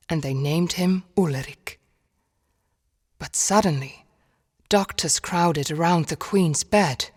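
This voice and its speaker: A woman narrating a storybook game in a measured, solemn fairy-tale style. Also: low voice. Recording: long reverb.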